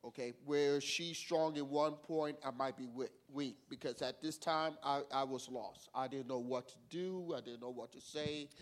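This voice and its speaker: Halting man searching for words. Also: medium pitch. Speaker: ministry leader sharing testimony.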